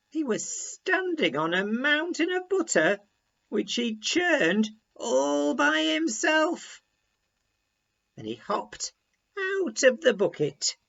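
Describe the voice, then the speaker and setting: A man reading a children's story aloud in a deliberate, expressive storytelling manner. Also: very high-pitched, creaky, lo-fi sound.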